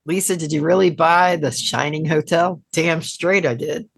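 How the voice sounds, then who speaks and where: Casting: female co-host, raspy and creaky, unhurried, laid-back casual banter. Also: deep voice, dry recording, studio quality. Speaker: podcast co-host. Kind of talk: casual chat.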